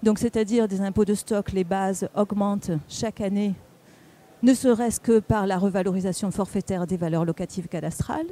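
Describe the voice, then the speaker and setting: A speaker giving a public presentation at a round table, explaining didactically in a halting way, with repeats and self-corrections. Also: mid-range voice, fairly even intonation, dark tone. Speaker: conference speaker presenting on local government finances.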